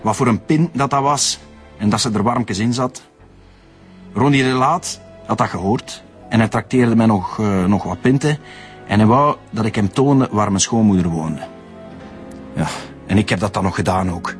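A man's voice: fairly low pitch, moderate pace, long pauses, faint music behind, close to an everyday voice. An actor playing a slippery, indignant murder suspect protesting his innocence in a Flemish radio drama. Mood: defensive. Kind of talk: naturalistic radio drama acting.

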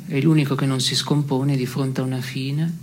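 A woman's voice: a bass voice, little pitch movement, normal speed, noisy background. An actress playing a dry, blunt woman in a philosophical theatre dialogue, terse and matter-of-fact. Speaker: stage actress.

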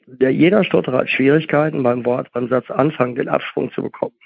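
A man with a husky voice, hosting a conversational interview as a therapist and explaining emphatically through questions he answers himself. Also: medium pitch, fairly even intonation, fast talker, dry recording. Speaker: host and stuttering therapist. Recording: phone-like, band-limited sound.

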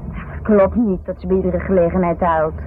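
A woman's voice: fairly low pitch, steady tone, lightly acted. An actress playing an irritable, defensive married woman, bickering in the style of 1970s radio drama. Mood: defensive, indignant. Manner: bickering, exasperated, quick to retort. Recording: noisy narrow-band 1970s radio drama recording.

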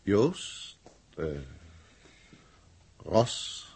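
An actor playing a haughty, authoritative alien in human form, stately and commanding, in a 1960s radio drama. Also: male voice, drawn-out words, a little mellow, a little hiss or hum. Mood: lofty, calm. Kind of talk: 1960s Dutch science-fiction radio acting.

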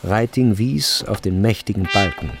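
A man's voice: lowish voice, moderate pace, creaky, over music. A man narrating a literary radio drama in a sober, scripted manner.

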